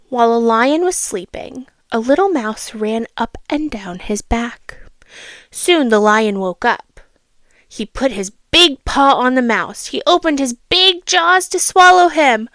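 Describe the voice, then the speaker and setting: A woman reading a children's storybook aloud, expressive and teacherly, with asides and questions for the listener. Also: a little high, dry recording.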